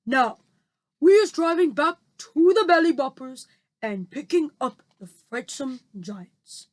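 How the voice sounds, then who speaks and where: A woman voicing a big, gentle male giant who talks in slow, muddled grammar while reading a storybook aloud. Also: high-pitched, animated. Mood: cheerful.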